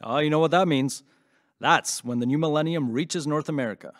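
Casting: breezy male radio DJ, acted by a man, mid pitch, dry studio sound, jokey patter, audio drama. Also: fairly quick, no echo, close to an everyday voice. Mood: jokey, upbeat. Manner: chatty, jokey, casual. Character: breezy, wisecracking male radio show host.

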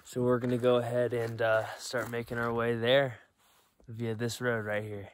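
A man's voice: medium pitch, level tone, moderate pace. Upbeat young man vlogging on a trail. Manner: chatty, upbeat, a bit winded while hiking. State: out of breath. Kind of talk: casual hiking vlog to camera. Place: outdoors on a snowy mountain trail. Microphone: phone held at arm's length.

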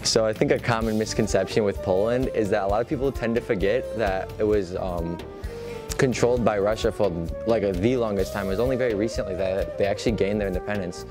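A teenage boy with a lowish voice rattles off a casual answer full of "um" and "like". Music plays clearly behind him. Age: teens. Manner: rapid, loose explanation with fillers.

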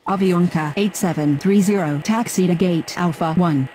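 A woman acts as an air traffic controller and pilot in a video game. She sounds mellow and a little low, reciting procedural calls in a clipped manner. Faint noise runs underneath. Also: normal speed, lightly acted. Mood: businesslike. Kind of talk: scripted radio phraseology.

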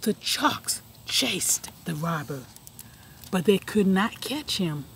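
A woman reading a children's picture book aloud, expressively and with deliberate emphasis. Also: lowish voice, very animated, gravelly, a little hiss or hum. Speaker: storybook reader. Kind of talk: children's read-aloud narration.

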